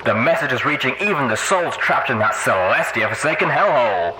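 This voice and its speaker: Through a noisy, echoing radio broadcast comes a flamboyant pony DJ. His pitch leaps around with cheeky, upbeat showmanship. It is the brash patter of a post-apocalyptic radio host in an audio story. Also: animated, brisk. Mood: exuberant. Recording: echoey, noisy crackling radio effect.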